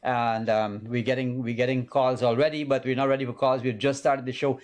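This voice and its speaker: A man's voice: mid-range voice, little pitch movement, a little fast, music in the background. A man hosting a live call-in medical show in a studio, talking casually with many fillers and restarts. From Guyana.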